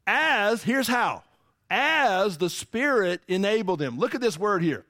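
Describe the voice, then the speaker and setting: A man's voice: sits high, big pitch swings, takes it slowly, bright, forceful tone, no echo, clean studio sound. A man preaching a sermon, teaching emphatically and asking the congregation rhetorical questions. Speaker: pastor.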